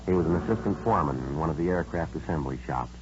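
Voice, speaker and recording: A businesslike American police detective with a low voice. He is clipped and deadpan, stating facts plainly in old radio crime drama style. The recording is dull and vintage, with music clearly behind him. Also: fast talker. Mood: matter-of-fact. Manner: clipped, deadpan, matter-of-fact. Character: businesslike male police detective sergeant narrating his cases. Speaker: detective narrator. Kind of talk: 1950s radio drama acting, scripted narration.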